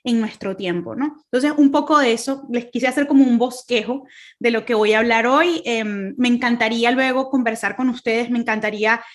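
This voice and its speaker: A woman's voice: a little high, normal speed. A woman in her thirties from Caracas with a Venezuelan accent, giving an earnest faith talk over a video call, with many fillers and restarts. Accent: Venezuelan Spanish, Caracas. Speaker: guest speaker.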